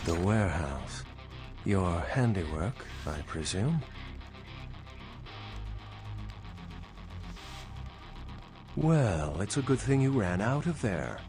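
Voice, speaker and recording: Low-voiced, wry, suave vampire scholar. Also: male voice, sing-song, measured pace, music in the background, full character voice. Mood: wry, knowing. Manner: polished, wry, formal phrasing. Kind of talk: video game character dialogue.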